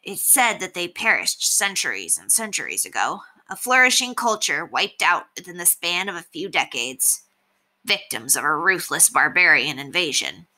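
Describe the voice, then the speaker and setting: Brief: dignified male fox, storybook, learned and haughty, crisp bright tone, formal lecturing, read by a woman. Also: lowish voice, big pitch swings, normal speed, heavily acted. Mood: lofty, lecturing.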